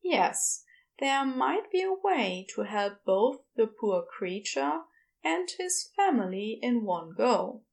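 A woman's voice: on the high side, very animated, slow pace, creaky. A woman narrating scripted fiction as an audiobook storyteller.

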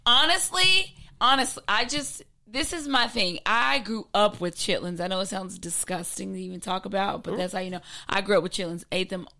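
A woman's voice: medium pitch, moderate pace, few pauses, bright, forceful tone. An American woman from Texas hosting a casual chat, repeating phrases for emphasis. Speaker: host.

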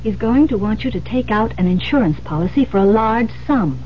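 Woman doing insistent lady cop in old radio drama, highish voice, very expressive pitch, deliberate, dull noisy tape. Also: lightly acted. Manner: deliberate, explanatory, persuasive. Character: composed, insistent female police detective. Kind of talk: 1970s radio drama acting.

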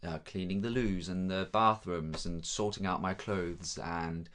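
A young man from Denmark, a native Danish speaker, chatting easily about his studies with many pauses and ums. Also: low-pitched, steady tone, a bit bright. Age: young adult. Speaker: drama student guest. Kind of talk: casual chat.

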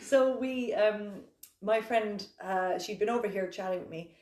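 An Irish woman with a mid-pitched voice hosts a casual podcast chat in a roomy, echoey space. She tells stories candidly, swears freely and pokes fun at herself. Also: unhurried. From Ireland. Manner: sweary, self-mocking, animated storytelling. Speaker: podcast host. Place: indoor room, seated on a couch.

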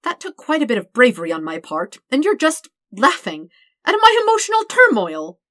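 A woman's voice: sits high, huge pitch swings, normal speed, a bit bright, no room sound, studio quality, voice pushed up, full character voice. A woman voicing a flustered, dramatic, lovestruck young man in a story, rambling in wordy formal phrases. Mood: indignant, embarrassed.

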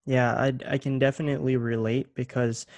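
A young man from Canada hosts a casual interview, easygoing and a little halting, feeling his way through questions with "um"s and restarts. His voice sits at an ordinary middle pitch in a dry, close recording. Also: no room sound. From Canada (Ontario). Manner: relaxed, hesitant, with many "um"s and restarts. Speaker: interview host.

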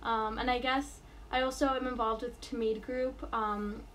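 A woman's voice: fairly high pitch, little pitch movement, measured pace, a bit bright, slight background noise. A young woman in college from New York, answering interview questions casually with lots of fillers and restarts. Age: college student. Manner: hesitant, many fillers and restarts.

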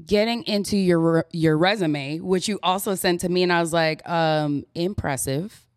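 A woman's voice: lowish voice, moderate pace, no echo, studio quality. A woman hosting a podcast, asking questions casually and backing up her guest with frequent affirmations. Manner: affirming, backchannels a lot. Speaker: podcast host. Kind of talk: casual interview chat.

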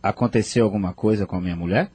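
A man's voice: lowish voice, quick pace, a little mellow, husky. A man playing a worried, guilt-ridden husband and father, earnest and troubled, in a radio soap opera. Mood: alarmed. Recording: noisy audio with ambient sound.

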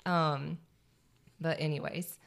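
A woman's voice: low-pitched, level tone, slow pace, gravelly. A woman hosting a casual podcast chat, gushing and slangy in the way she talks. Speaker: podcast host.

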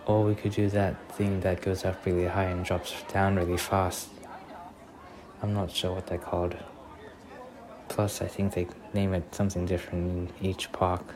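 A man acts out a gentle young boyfriend in an ASMR roleplay, with a low voice that barely rises or falls. He speaks softly and haltingly to reassure his date, over the murmur of a crowd. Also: flat delivery, natural voice. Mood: relaxed, cheerful. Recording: crowd ambience and noise behind voice.

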